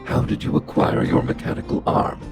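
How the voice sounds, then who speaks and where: An actor playing a huge, stern, logical robot boss in a video game, gravelly and speaking in clipped, formal, computer-like phrases. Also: male voice, brisk, music in the background, lightly acted. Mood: impassive, formal.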